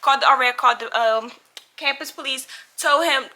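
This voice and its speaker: A woman's voice: high voice, fairly quick, pushed and bright. A young American woman telling a casual storytime in a dramatic, slangy way, acting out the dialogue as she goes. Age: young adult. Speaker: storytime vlogger.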